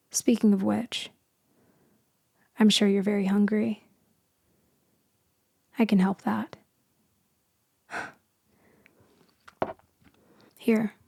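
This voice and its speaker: Lonely immortal female vampire, played by a woman for ASMR audio roleplay: very slow, creaky, tender and haunted, slipping into whispers. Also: medium pitch, dry recording, clean studio sound. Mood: soft, coaxing. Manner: soft, hushed, drifting into whispers.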